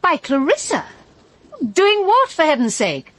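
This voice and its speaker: An actress playing a sharp, scheming, outspoken British woman with a brisk sardonic manner in a radio drama. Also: high-pitched, huge pitch swings, exaggerated voice. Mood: brisk, nosy. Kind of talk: full-cast radio drama acting.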